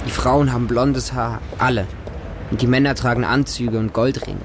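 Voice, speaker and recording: An actor playing a homeless street child, narrating a radio drama in simple, intimate short sentences. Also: male voice, medium pitch, steady tone, moderate pace, background noise, natural voice. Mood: earnest, plain. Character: homeless Moscow street child who befriends stray dogs. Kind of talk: first-person radio drama monologue.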